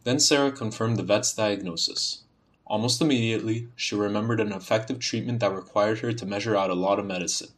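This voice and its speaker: A man's voice: fairly low pitch, brisk. A young man from Ontario who grew up speaking Serbian, with a Canadian accent touched by Serbian, reading a passage carefully and then talking about himself. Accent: Canadian, faint Serbian. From Ontario, Canada, born in Bosnia. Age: young adult. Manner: even, careful reading; hesitant, filler-laden when talking freely. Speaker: university student, dialect archive speaker. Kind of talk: reading a set passage, then casual self-introduction.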